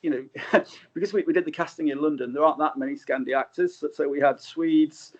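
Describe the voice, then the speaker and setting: A man's voice: on the high side, brisk. A man speaking as a guest film director in a casual interview, hesitant and often correcting himself, on slightly dull remote audio.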